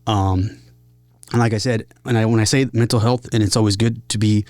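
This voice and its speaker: A man speaking casually and openly as an interview guest, earnest, in short halting phrases. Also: lowish voice, steady tone, a little mellow, dry recording, faint music behind. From San Diego area, United States. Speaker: podcast guest, musician. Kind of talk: casual, candid interview talk.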